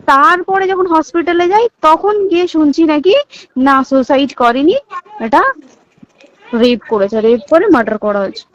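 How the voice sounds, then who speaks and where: High-pitched Indian Bengali woman, emphatic confiding phone talk, repetitive, dull call-recording sound. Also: moderate pace, no room sound. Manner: emphatic, repeats points, checks listener understands. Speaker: hospital staff member. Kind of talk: private phone conversation, recounting insider details.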